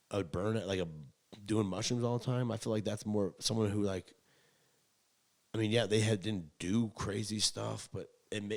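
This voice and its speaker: Low-voiced young man, slangy and profane. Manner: slangy, profane, animated when acting out quotes. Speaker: podcast host. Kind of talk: casual rambling storytelling chat.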